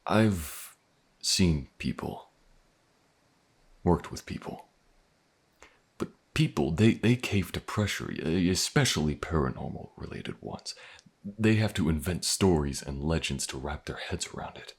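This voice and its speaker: A man playing a gruff, guarded but protective mercenary in a fantasy audio roleplay, halting and dry in his delivery. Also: expressive, exaggerated voice. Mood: gruff, hesitant. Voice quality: very deep gravelly husky.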